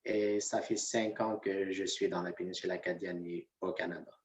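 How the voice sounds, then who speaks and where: A man's voice: low voice, fairly even intonation, moderate pace. A man from Algeria, a community development officer, introducing himself hesitantly on a panel over a video call. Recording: roomy, distant, dull video call audio.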